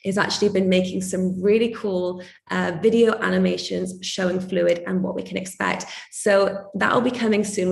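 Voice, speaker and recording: Woman, call moderator, croaky slightly gravelly voice, upbeat, echoey room. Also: on the low side. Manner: upbeat, enthusiastic. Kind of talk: casual online community call.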